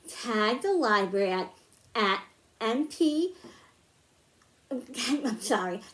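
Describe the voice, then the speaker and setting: A woman presenting a science storytime for young children, explaining things in simple, instructive phrases. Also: sits high. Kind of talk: children's science lesson, spoken to young viewers.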